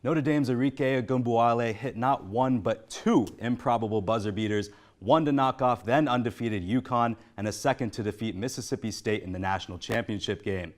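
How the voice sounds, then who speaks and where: A man's voice: mid-range voice, fairly quick. A man co-hosting a sports talk show, moving between scripted setups and discussion.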